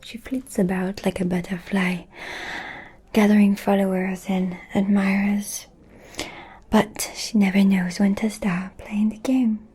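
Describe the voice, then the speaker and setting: A woman performs a seductive, scheming vampire noblewoman for an ASMR roleplay. Her voice is a very husky, breathy whisper with a mellow color. She speaks slowly and deliberately, confiding gossip with sly pauses. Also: medium pitch, flat delivery. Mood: disdainful, knowing. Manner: slow, deliberate, insinuating with pauses.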